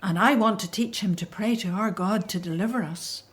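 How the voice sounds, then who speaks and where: A woman's voice: mid-range voice, moderate pace, close to an everyday voice. Woman acting a tender, emotional Hebrew mother. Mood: sad, resolute. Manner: gentle, emotional, breathy sighs. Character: tender, faithful Hebrew mother, fearful for baby son. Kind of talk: biblical radio drama acting.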